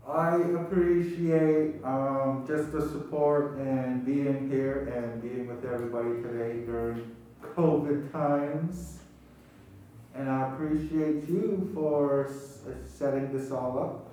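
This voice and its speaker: A man speaks slowly and haltingly, with a dark, mellow tone, reflecting casually in plain words. He sounds far from the mic in a very echoey room. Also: medium pitch, steady tone. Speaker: amateur radio play cast member. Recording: very echoey, distant, noisy room.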